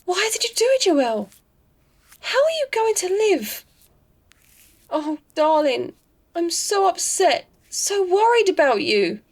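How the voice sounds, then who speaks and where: A woman's voice: extremely high pitch, big pitch swings, slow, long pauses, exaggerated voice. A woman playing a devoted, anxious girlfriend with tender pleading in a vintage melodrama style. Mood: pleading, upset.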